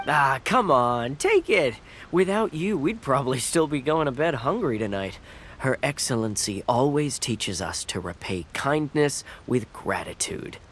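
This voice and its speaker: An actor playing a humble, earnest fisherman soldier in a video game, eager and a little halting. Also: mid-range voice, expressive, fairly bright tone, slight background noise. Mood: insistent, grateful. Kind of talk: video game character acting.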